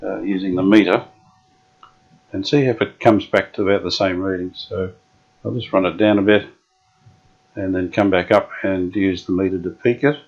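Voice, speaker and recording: A low-pitched, slightly husky man works through a radio repair, talking to himself as much as to the viewer. His speech is halting and casual, full of ums and restarts. The recording is dull and lo-fi. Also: steady tone. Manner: thinking aloud, halting with many ums and uhs. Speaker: radio restorer. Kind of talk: hands-on workshop demonstration.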